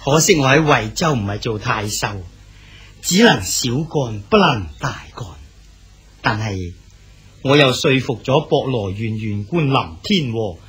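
An actor with a Cantonese accent playing an aging exiled male poet, gentle and fatherly in a radio drama. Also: mid-range voice, breathy edge. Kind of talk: 1980s Hong Kong radio drama acting.

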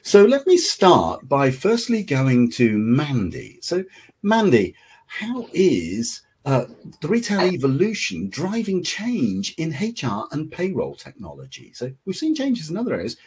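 A middle-aged man hosting a webinar over a video call, explaining in a measured way. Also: fairly high pitch, slight background noise. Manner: measured, explanatory, lively intonation. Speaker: webinar host. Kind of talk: webinar moderation. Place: home office, video call.

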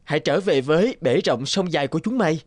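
An actor playing an earnest, kind-hearted young soldier in a fairy-tale cartoon, speaking expressively. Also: male voice, fairly high pitch, animated, quick pace, no echo, clean studio sound. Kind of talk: animated fairy-tale dubbing. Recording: music bed behind the voice.

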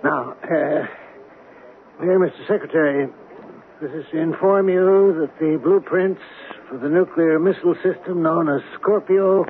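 A man's voice: a little high, unhurried. Tinny 1970s radio drama, faint noise, distracted businessman, hesitant, flustered. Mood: businesslike, uneasy. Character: nervous, distracted male executive of a weapons firm.

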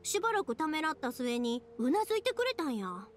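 A woman's voice: high voice, sing-song, quick pace, faint music behind. A woman playing a cheerful, chatty young fireworks maker in a video game, speaking expressively with a Kansai accent. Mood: chatty, thoughtful. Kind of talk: animated video game voice acting.

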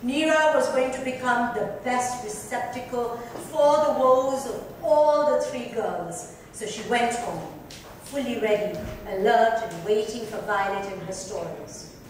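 A woman's voice: on the high side, unhurried. A woman giving a theatrical, emphatic dramatic reading as the author of the book. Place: club lounge, indoors. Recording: echoey hall, distant mic, faint noise.

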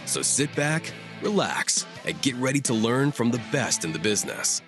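A man's voice: mid-range voice, very animated, normal speed. A man reading a scripted podcast promo as announcer. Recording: narration over guitar music.

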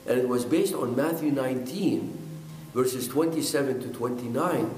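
An older man from Iraq tells his own story to the camera in a middle-pitched voice, sincere and a little halting as he restarts words. His speech rings in an echoey indoor room. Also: a little mellow, soft background music. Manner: earnest, halting, with repeated words and fillers. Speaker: pastor. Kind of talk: personal testimony to camera. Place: indoors, in front of a bookshelf. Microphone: camera at close range.

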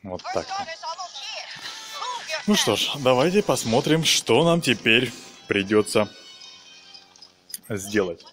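A man's voice: mid-range voice, big pitch swings, takes it slowly, pauses often. A man giving casual, chatty game commentary as a let's-play host, explaining hesitantly as he plays. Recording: game music behind the voice. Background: video game music.

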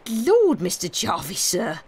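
A woman's voice: medium pitch, very animated, measured pace, voice pushed up. A woman voicing a plain-spoken rustic working man in a storybook, folksy, blunt and deferential. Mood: dismayed.

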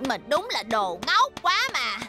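A stubborn, fiery little schoolgirl from a cartoon dub. She speaks in a very high, wildly lively voice. Music plays clearly behind her. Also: unhurried, full character voice. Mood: grumbling, regretful. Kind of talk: cartoon dubbing.

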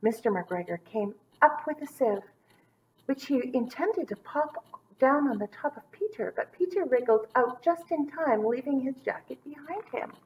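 Woman, thirties, teacher reading to little kids; slightly high voice, swooping intonation, stretches words for drama. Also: expressive, moderate pace, a little hiss or hum, rough recording. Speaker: teacher reading a children's story aloud. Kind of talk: expressive storybook read-aloud for young children. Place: small indoor room set up as a classroom.

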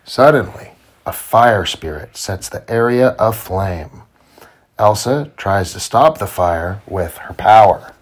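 Slow, low-voiced man reading a storybook. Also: expressive. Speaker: father reading storybook aloud to his child. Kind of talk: children's read-aloud narration.

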